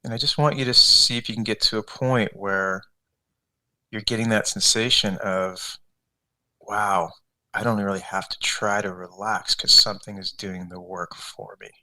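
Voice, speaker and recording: A man leading a guided meditation as a coach, giving unscripted, conversational instructions step by step with gentle repetition. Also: on the low side, fairly even intonation, moderate pace, poor audio.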